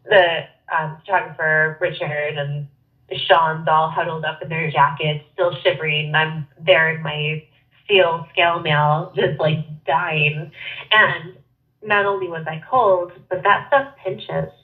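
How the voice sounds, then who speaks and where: A woman's voice: sits low, steady tone, slow. A young woman guest with a head cold and a nasal voice, chatting bubbly and laughing easily over a phone call. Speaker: podcast guest, wrestler. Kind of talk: casual chat. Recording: tinny phone line.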